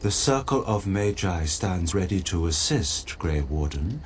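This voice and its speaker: Game statesman: low, lively intonation, formal, solemn, oratorical. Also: measured pace, slight background noise. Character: solemn, dignified male leader pledging military aid. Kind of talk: video game dialogue.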